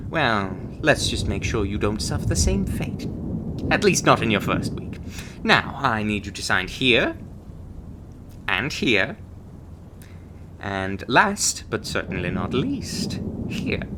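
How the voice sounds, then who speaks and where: A man's voice: on the low side, very animated, slow, pauses often, noisy background. A man playing a chirpy, breezily menacing recruiter in an ASMR roleplay, glibly cheerful about grim things. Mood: breezy, reassuring. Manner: cheerful, glib delivery of grim threats.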